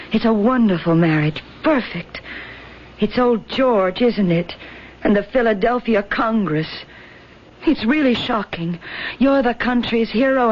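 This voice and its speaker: Actress as an earnest, devoted young wife, muffled recording. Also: female voice, mid-range voice, natural voice. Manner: earnest, emotive. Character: devoted young wife, indignant and quietly persuasive. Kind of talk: old-time radio drama acting. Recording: dull vintage radio recording.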